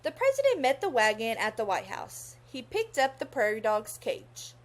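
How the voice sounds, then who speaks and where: A woman's voice: fairly high pitch, wildly swooping pitch. A young woman reading a children's story aloud expressively, in a teacherly manner. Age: young adult. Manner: teacherly, pauses to pose questions to young listeners.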